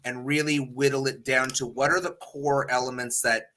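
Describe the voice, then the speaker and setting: A man with a strikingly bright, sharp voice at an ordinary male pitch, talking as a show panelist. He rambles through his opinions casually and emphatically, swearing freely as he goes. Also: fairly even intonation, normal speed.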